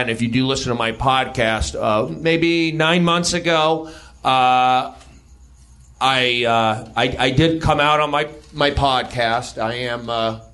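A man from Los Angeles with an American accent and a nasal voice, rambling and halting as he makes casual comedic confessions. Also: medium pitch, fairly bright tone. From Los Angeles, United States. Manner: rambling, halting, self-interrupting. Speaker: comedian guest and podcaster.

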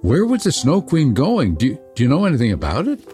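A man voicing a gentle, frightened little girl who asks anxious questions. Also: medium pitch, huge pitch swings, fast talker, soft background music, pitched above the performer's own voice. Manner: anxious, pleading.

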